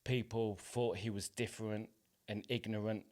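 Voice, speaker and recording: A man in his forties with a fairly low voice talks as a guest in a casual interview. His manner is hesitant and informal as he reflects. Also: fairly even intonation, normal speed, darkish tone. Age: 40s. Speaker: podcast guest, playwright and actor.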